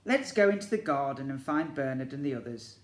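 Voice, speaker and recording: A man's voice: mid-range voice, lively intonation, fairly quick, lightly acted. A man voicing a welcoming, polite party-hostess mother in a storybook, with sing-song hospitality. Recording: echoey room.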